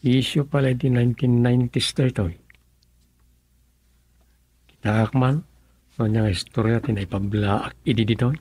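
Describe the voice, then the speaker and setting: A man plays a cautious, plain-spoken male villager in a radio drama. His voice is husky and recorded dry, with an Ilocano accent and a questioning, inquisitive manner. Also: medium pitch, steady tone, normal speed, long pauses, a little mellow, no room sound. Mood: musing.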